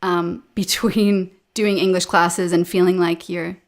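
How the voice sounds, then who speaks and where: A woman in her thirties with a Canadian accent, a guest chatting casually in a relaxed way with fillers, over a video call. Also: on the low side, unhurried. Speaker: podcast guest, English teacher. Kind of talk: casual interview chat. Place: home room with a painting behind her.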